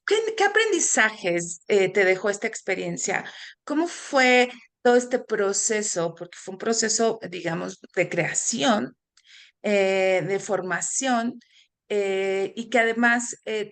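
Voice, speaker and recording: Slow, halting woman interviewer. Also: medium pitch, fairly bright tone. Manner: halting, with many fillers and restarts. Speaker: webcast host. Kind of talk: interview questions.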